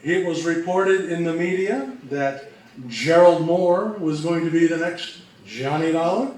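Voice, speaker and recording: A man with a high voice delivers a slide presentation slowly and deliberately. He is picked up from a distance, with strong echo from the room around him. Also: darkish tone. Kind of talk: slide presentation on radio history. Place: reverberant room, live presentation. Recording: very echoey room, distant mic, faint noise.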